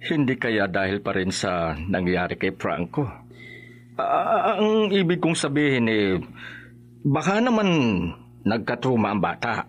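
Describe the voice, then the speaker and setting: An actor playing a worried, well-meaning grandfather in a horror radio drama, hesitant and expressive. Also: medium pitch, a little slow, long pauses. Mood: reassuring. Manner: hesitant, expressive, musing aloud.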